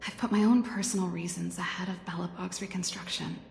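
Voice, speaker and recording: A woman's voice: on the low side, steady tone, brisk, vocal fry, darkish tone, a little hiss or hum. A woman voicing a wistful, free-spirited musician in a video game, speaking with heartfelt hesitation. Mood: apologetic. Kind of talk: dramatic game dialogue. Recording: studio voice over guitar music.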